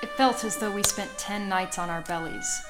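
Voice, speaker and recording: Actress as young Sicilian woman, literary dramatic storyteller for a radio play, loud score underneath. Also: medium pitch, normal speed, natural voice. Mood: tense, haunted narration. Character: breathless young Sicilian woman recalling her girlhood romance and terror. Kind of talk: cinematic radio play narration and dialogue. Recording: loud soundtrack music behind voice. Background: music.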